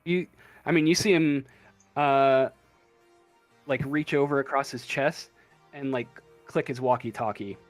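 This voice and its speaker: A man running a tabletop game, narrating off the cuff and thinking aloud in a halting way. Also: medium pitch, fairly even intonation. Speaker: game master. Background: faint music.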